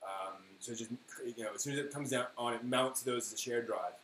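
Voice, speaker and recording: A man's voice: medium pitch, fairly quick, a bit bright. Man chatting in an echoey room. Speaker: live stream host. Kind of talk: casual live stream chat, replying to viewers. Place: indoor room at home with printer running. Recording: roomy echo, faint buzzing 3D printer noise. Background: faint buzz of a 3D printer.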